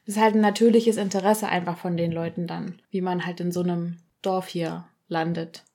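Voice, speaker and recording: A woman's voice: on the low side, level tone, fairly quick, warm tone. A young German woman hosting a podcast, chatty and teasing as she asks questions. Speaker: podcast host. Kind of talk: casual conversation.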